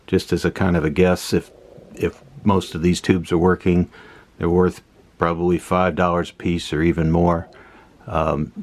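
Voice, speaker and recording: A man giving a casual hands-on explanation as a hobbyist host, pausing mid-phrase as he thinks aloud. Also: sits low. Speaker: host of a vintage electronics repair channel.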